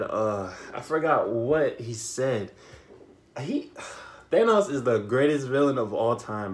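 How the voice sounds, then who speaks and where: A young man giving a casual, unscripted movie recap, telling it in an animated way with repeated phrases and slangy asides. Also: mid-range voice. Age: young adult. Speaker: YouTube film reviewer. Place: small bedroom, indoors.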